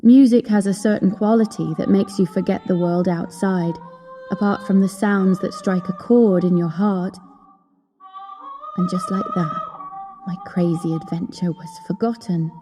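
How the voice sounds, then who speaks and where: A woman playing a wistful young opera singer who recounts her story in an audio drama, in measured, earnest, literary phrasing. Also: fairly low pitch, steady tone, warm tone, close to an everyday voice. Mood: entranced, wistful. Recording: long hall reverb, music behind.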